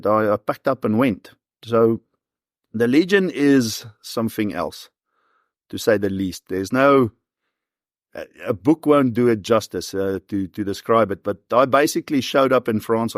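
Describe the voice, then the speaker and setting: A man's voice: mid-range voice, no echo, studio quality. A man in his forties with a South African accent, telling stories in an easygoing, conversational way as an interview guest. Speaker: professional hunter and former soldier, interview guest.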